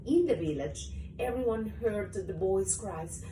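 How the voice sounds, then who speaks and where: Expressive woman reading a children's story, roomy sound. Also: medium pitch, a little slow. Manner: expressive, acts out story parts. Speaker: mother reading to her young child. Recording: roomy, distant mic, faint noise.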